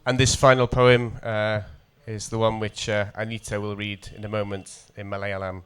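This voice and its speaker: A man from North Wales with a North Welsh accent, a poet introducing and reading poetry at a festival in a measured, deliberate way. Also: on the low side, level tone, fairly bright tone, clean tone. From coastal North Wales.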